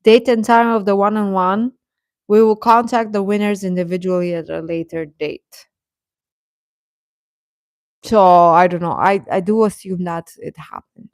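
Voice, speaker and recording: A woman's voice: mid-range voice, fairly even intonation, measured pace, long pauses, no room sound, studio quality. A woman hosting a livestream, chatting casually and reacting to viewers, often repeating her phrases. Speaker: livestream host.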